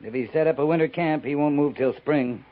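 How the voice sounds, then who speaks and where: An actor playing a seasoned, understated frontier cavalry captain in a 1950s radio western, speaking in a clipped, dry way. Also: male voice, fairly high pitch, fairly quick, lightly acted. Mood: dry, matter-of-fact. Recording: muffled, noisy 1950s radio recording.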